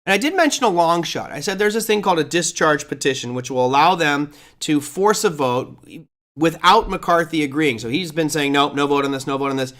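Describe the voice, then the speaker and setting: A middle-aged man hosting a live market commentary show, his voice crackly and hoarse from a long day of teaching, talking in a casual, blunt, opinionated way with frequent restarts. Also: on the high side, expressive, brisk, fairly bright tone. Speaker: host.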